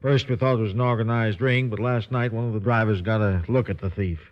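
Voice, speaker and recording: An actor playing a businesslike senior FBI agent giving a briefing in an old-time radio drama. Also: male voice, on the low side, steady tone, fast talker, lightly acted. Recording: slightly muffled old radio recording.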